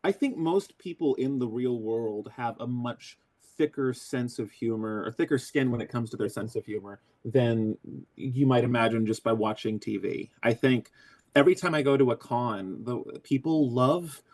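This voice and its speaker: Excitable man joking crudely in an interview. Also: mid-range voice, normal speed. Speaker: voice actor guest. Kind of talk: casual interview talk.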